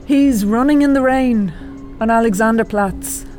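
Actress doing a lovesick middle aged woman in a radio play, talks slow n halting, haunted, music behind. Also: on the high side, a little mellow, close to an everyday voice. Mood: haunted, yearning. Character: wistful, lovelorn middle-aged woman psychologist, haunted by the past. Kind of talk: radio drama acting.

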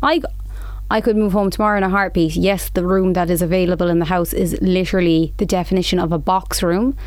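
A woman's voice: fairly low pitch, steady tone, quick pace, darkish tone, no echo. A young woman co-hosting a podcast, chatting casually and laughing easily. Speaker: podcast co-host. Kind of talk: casual chat.

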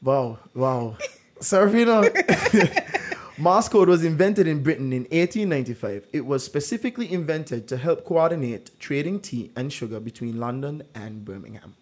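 A man's voice: a little high, moderate pace. A man hosting a casual true-or-false quiz, telling stories with lots of "like" fillers. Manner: animated storyteller, many "like" fillers. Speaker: quiz host.

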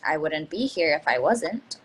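A woman playing a low-voiced, probing young professional. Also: very animated, a little slow, husky, full character voice. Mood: cool, probing. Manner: pointed, formal, probing. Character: businesslike, direct young professional woman interviewer. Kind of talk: play reading.

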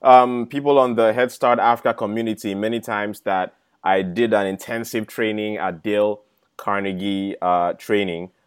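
Excitable Nigerian man hosting a masterclass. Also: lowish voice, clean tone. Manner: excited, halting, with fillers and restarts. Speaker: host. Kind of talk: casual introduction.

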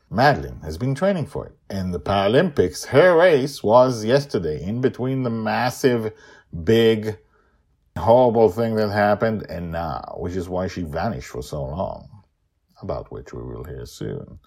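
A man hosting and telling a serial fantasy story, with emphatic short phrases and dramatic pauses. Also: on the low side, gravelly, darkish tone, slightly rough. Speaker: storyteller and podcast host.

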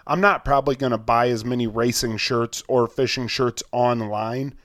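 A man's voice: mid-range voice, moderate pace, fairly bright tone, no echo, clean studio sound. A man with an American Midwestern accent chatting casually and informally as co-host of a fishing podcast. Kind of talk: casual chat.

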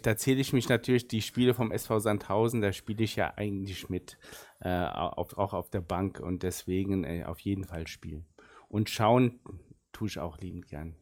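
A middle-aged man from Germany whose first language is Arabic, a football sporting director giving thoughtful, unhurried answers in a casual interview. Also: lowish voice, a little mellow.

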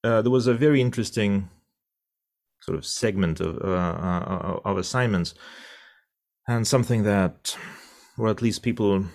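Deep-voiced man, thoughtful. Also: moderate pace, long pauses, darkish tone. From Russia. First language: Russian. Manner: thoughtful, many fillers and restarts. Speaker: interpreter. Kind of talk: conversational interview answer.

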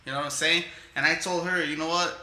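A man's voice: fairly high pitch, fairly even intonation, quick pace, bright, forceful tone, roomy sound. A man in his thirties co-hosting a podcast, boastful and profane, often repeating himself. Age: 30s. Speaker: podcast co-host. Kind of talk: casual comedic banter.

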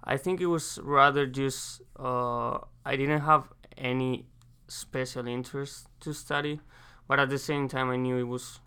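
A young man from Spain with a Spanish accent, an exchange student answering interview questions casually and haltingly, pausing to search for English words. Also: medium pitch, fairly even intonation, sharp tone, clear, resonant tone. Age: young adult.

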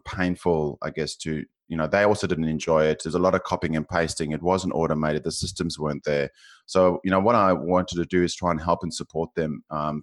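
South African man, very low voice, forties, guest in an interview, halting, lots of ums and restarts. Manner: thoughtful, hesitant with many fillers and restarts. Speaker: guest, finance professional. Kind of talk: podcast interview.

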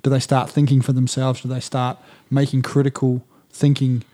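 A man hosts a casual podcast conversation in a mid-pitched voice. He talks reflectively and thinks aloud, often restarting his sentences. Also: completely flat delivery, moderate pace, mellow. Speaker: podcast host.

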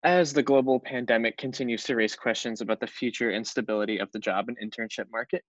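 A man's voice: lowish voice, no room sound. A young man with an American accent hosting a podcast interview, on slightly dull, lo-fi audio. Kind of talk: scripted introduction and interview questions.

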